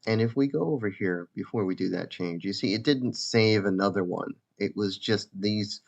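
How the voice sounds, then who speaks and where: A man giving a casual, informal software demo as a developer presenting his own application. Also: fairly low pitch, moderate pace, gravelly. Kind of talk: casual screen-share demo narration. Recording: dull lo-fi mic.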